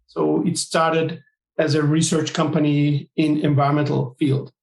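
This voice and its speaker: A man from Turkey, a guest on an interview podcast, explaining his work in measured, listing phrases. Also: a little high, little pitch movement, gravelly, darkish tone, echoey room, poor audio. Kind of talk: interview answers.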